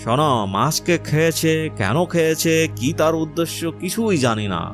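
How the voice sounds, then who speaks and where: A man voicing a cautious, brooding old village grandfather in a cartoon, deliberate and authoritative. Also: a little high, expressive, soft background music, close to an everyday voice. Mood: grave.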